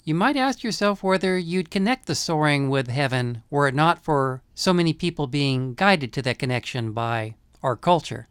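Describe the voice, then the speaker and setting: A man narrating a scripted essay on film music in a measured, explanatory manner. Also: a little high, clean tone, no echo, studio quality.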